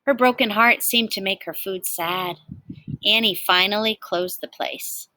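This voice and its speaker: A woman reads a picture book aloud as a teacher would for young children. Her voice is bright and forward, recorded dry with hardly any room sound. She tells the story expressively and speaks directly to her listeners. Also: medium pitch, unhurried, dry recording, low-quality recording.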